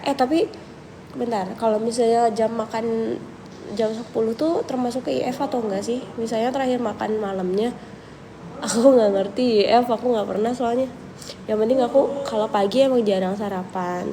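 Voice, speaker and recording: A young woman, slightly under the weather with a cold, talks casually to her viewers. Her voice has a gravelly, creaky edge. The livestream audio carries clear background noise. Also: fairly high pitch, moderate pace, rough recording. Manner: chatty, relaxed, thinks aloud with fillers. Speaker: livestream host answering viewers. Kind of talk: casual live chat reading viewer questions.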